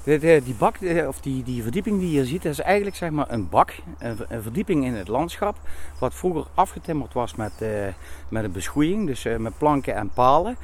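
A man acting as a local guide outdoors, explaining things off the cuff in a halting way, often repeating and rephrasing himself. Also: medium pitch, sing-song. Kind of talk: unscripted explanation on location. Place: outdoors at a forest edge.

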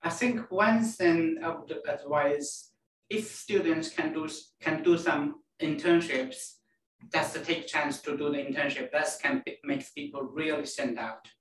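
A man from China, a native Chinese speaker, answering interview questions in halting English that repeats words. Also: on the high side, fairly bright tone. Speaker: guest, telecom network planner. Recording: roomy, distant mic.

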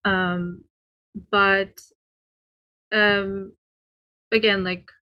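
A woman's voice: mid-range voice, level tone, extremely slow, muffled recording. A woman from Sri Lanka chatting casually as a podcast guest, informal and a little tentative, checking that she makes sense. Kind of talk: casual chat.

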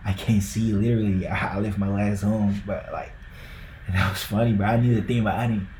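A young Ghanaian man chats on a podcast in a low, mellow voice that stays fairly flat. His talk rambles, packed with likes and bros. The room echoes around him, with a faint hum behind. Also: a little fast. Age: young adult. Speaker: podcast co-host. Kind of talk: casual podcast chat.

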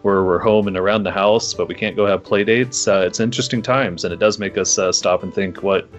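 A man's voice: on the low side, steady tone, brisk, faint music behind. A man hosting a casual conversation, easygoing and chatty, with light laughs.

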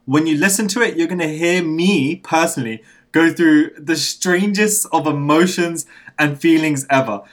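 A man hosting a podcast remotely from London, gushing and excitable, his voice slightly croaky after a coughing fit. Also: on the high side, moderate pace. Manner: excitable, gushing, laughs often. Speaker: podcast host. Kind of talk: enthusiastic podcast interviewing. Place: home studio in London. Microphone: remote recording.

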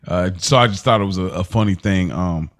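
A man with a low voice, co-hosting a casual podcast. He recaps scenes at an easy pace and drops quick asides as he goes. His delivery stays relaxed and conversational. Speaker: podcast co-host.

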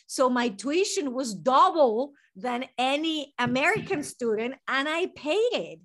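A woman's voice: high-pitched, sing-song, unhurried. Earnest Venezuelan-accented woman. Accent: Venezuelan Spanish. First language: Spanish. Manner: earnest, reflective, speaks in long unfolding sentences. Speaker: guest, licensed mental health therapist. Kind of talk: podcast interview.

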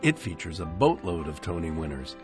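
A man's voice: low-pitched, very animated, brisk, faint music behind. An American man hosting a radio show with a scripted but conversational introduction and playful, theatrical emphasis.

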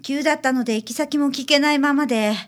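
A woman's voice: high voice, fast talker, lightly acted. A woman playing a hesitant, very polite, apologetic young woman client in a radio drama. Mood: polite, guarded.

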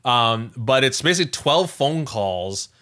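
A man with a distinctly bright, forward voice at a medium pitch co-hosts a casual podcast. He talks in a rambling, conversational way, often correcting himself and circling back over phrases. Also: expressive, unhurried. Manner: rambling, frequent self-corrections and repeated phrases. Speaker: podcast co-host.

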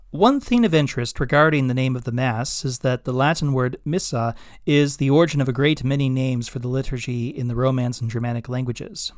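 A man of ordinary pitch reads his own essay for his podcast. He speaks in a measured, scholarly way and enunciates each word with care. The recording is dry and close, with no room sound. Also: dry recording. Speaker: podcast host. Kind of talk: reading a written blog essay aloud.

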